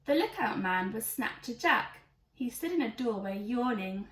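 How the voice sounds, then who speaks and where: A woman's voice: fairly high pitch, lively intonation, normal speed, fairly bright tone, distant mic. A woman reading a rhyming children's storybook aloud in a theatrical, emphatic way. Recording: echoey room, away from mic.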